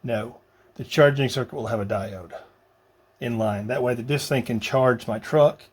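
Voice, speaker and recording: A man narrating a hands-on DIY job casually, halting and muttering to himself as he works. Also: mid-range voice, breathy edge. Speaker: vlogger building a radio kit.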